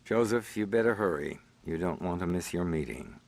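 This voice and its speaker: A man playing an older crime boss in a video game, dry, measured and menacingly polite, with a gravelly voice. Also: sits low, darkish tone, big-room sound. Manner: measured, sardonic, formal.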